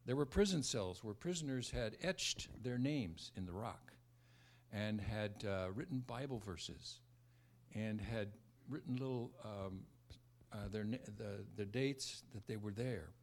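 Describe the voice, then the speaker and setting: An American man in his seventies giving a sermon with scripture and personal stories, in a halting way with repeated words and restarts. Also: fairly low pitch, slight background noise. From born in America, raised largely in Southeast Asia. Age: 70s. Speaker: preacher, former missionary.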